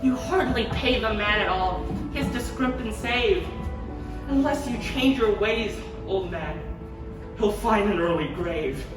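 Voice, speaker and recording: A woman's voice: medium pitch, unhurried, natural voice. A woman playing a bossy, reproachful ghost, declaiming rhymes in a stage pantomime. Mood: scolding. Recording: echoey stage, distant mic, music behind.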